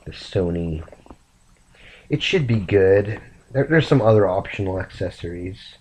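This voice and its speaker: A man sick and rambling softly to his camera late at night in a raspy, half-whispered voice. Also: low voice, expressive, rough recording. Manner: slow, meandering, soft-spoken. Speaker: vlogger.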